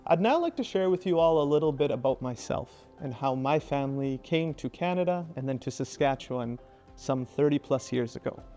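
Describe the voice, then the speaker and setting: A man's voice: medium pitch, animated, fairly quick, dark tone, faint music behind. A man in his thirties from Iraq, introducing himself and then performing his own spoken word poem with a rhythmic, rhyming delivery. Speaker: presenter and spoken word poet.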